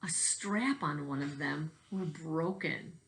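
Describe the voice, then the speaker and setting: Woman with a low voice reading a kids picture book out loud, like a teacher. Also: slow pace, vocal fry, low-quality recording. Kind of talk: storybook read-aloud.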